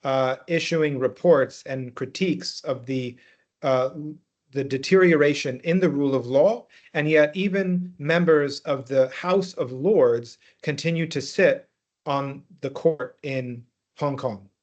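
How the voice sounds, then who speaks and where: A man moderating an online panel, putting questions in a formal, halting and roundabout way. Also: mid-range voice. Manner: halting, measured, roundabout phrasing. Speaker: webinar moderator. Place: online webinar call. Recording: dull, lo-fi web call audio.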